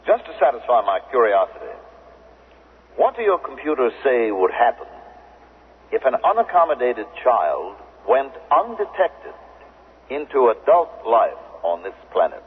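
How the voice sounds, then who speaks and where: A coolly analytical secret agent of a future regime in a 1970s radio drama. His speech is formal and probing, at a middling male pitch. It rings in a long reverb on a dulled old broadcast recording. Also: big pitch swings, a little slow, breathy edge, exaggerated voice. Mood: curious, probing. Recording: muffled, hissy, echoing 1970s radio recording.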